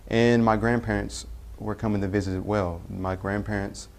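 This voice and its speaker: A young man from Tennessee, telling his personal faith story in a halting way, with frequent pauses and self-corrections. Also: fairly low pitch, flat delivery, a little mellow. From Tennessee, US Southern. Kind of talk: personal testimony.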